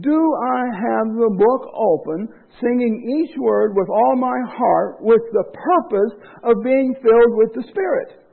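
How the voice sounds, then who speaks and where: Male preacher, high gravelly voice, impassioned sermon delivery, tinny lo-fi recording. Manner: impassioned, rising to loud emphasis then dropping low. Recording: tinny phone-like lo-fi sound.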